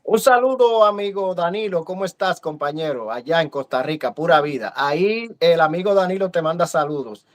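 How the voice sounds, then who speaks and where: Man, live-stream host, slightly high voice, friendly, halting, filler-heavy, video call. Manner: friendly, hesitant with many fillers. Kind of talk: casual live-stream interview.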